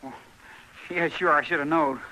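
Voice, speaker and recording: A man's voice: fairly high pitch, steady tone, moderate pace, close to an everyday voice. A male actor playing a cocky, eager frontier boy in an old radio western, with a rustic American accent. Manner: rustic boyish bragging. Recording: noisy old radio recording.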